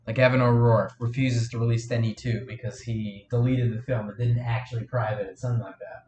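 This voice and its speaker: Casual male streamer, sniffly, hesitant and rambling, mid-pitched voice, faint background noise. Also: little pitch movement, fairly quick, darkish tone, highs cut off. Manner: rambling, hesitant, full of fillers and restarts; reads and answers viewer chat. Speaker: live streamer and fan film maker. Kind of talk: casual live stream chat.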